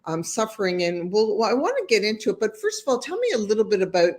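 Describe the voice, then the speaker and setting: A woman hosting a casual live-stream interview, talking warmly and effusively with many fillers and restarts. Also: mid-range voice, very animated, quick pace. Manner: warm, effusive, rambling with fillers. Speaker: host.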